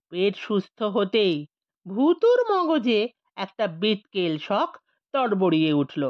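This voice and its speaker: Animated woman storyteller, swooping pitch. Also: no echo, highs cut off. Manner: theatrical, playful, expressive emphasis with drawn-out words. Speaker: audiobook narrator. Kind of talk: animated comic storytelling, scripted narration.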